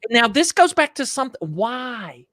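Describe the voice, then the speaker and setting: A man with a high voice for a man, telling stories as an interview guest with emphatic, animated delivery and frequent restarts. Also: normal speed, no echo, studio quality. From United States. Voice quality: higher-pitched male voice. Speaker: podcast guest. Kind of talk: enthusiastic interview storytelling.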